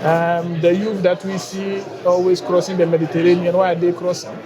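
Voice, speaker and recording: Man, Ghanaian accent, interview answers, hesitant pauses, loud conference crowd. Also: high-pitched, little pitch movement. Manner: measured, with frequent hesitations. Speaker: bank guarantees unit head. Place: busy trade conference floor.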